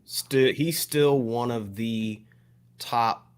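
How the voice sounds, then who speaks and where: A man's voice: medium pitch, very slow, clear, resonant tone. A man co-hosting a podcast, talking casually and appreciatively about hip hop, often repeating words as he goes. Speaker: podcast co-host.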